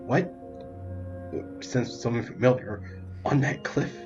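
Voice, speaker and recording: A man's voice: mid-range voice, a little slow, lo-fi sound. Man casually commentating over game music. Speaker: gameplay streamer.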